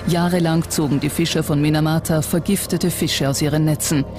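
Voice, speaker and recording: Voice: medium pitch, fairly even intonation, brisk. A documentary narrator reading a scripted text in a factual documentary style. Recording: music bed under narration. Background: background music.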